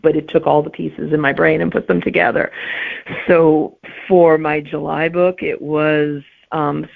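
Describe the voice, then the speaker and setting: A woman's voice: deep voice, flat delivery, measured pace, gravelly. A middle-aged woman talking casually as an interview guest, over a narrow, tinny line. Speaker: guest author and teacher.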